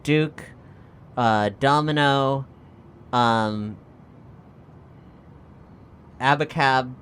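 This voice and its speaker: A man's voice: mid-range voice, very slow, fairly bright tone. Casual man over background noise. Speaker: participant in a tabletop role-playing podcast. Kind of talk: casual improvised game table chat.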